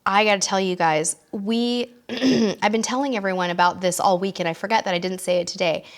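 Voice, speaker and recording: A middle-aged woman hosting a casual live stream, chatty with her viewers, her voice hoarse and worn from losing it, often clearing her throat. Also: mid-range voice, steady tone, fairly quick. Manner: chatty, warm toward viewers, reads out comments. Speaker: cruise show host.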